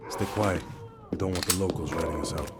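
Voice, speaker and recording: Loud music plays behind a very deep-voiced male operative played by an actor. He talks in a calm, clipped, low-key way, as in a spy game cutscene. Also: a little fast, natural voice. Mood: calm, watchful. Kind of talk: video game cutscene acting.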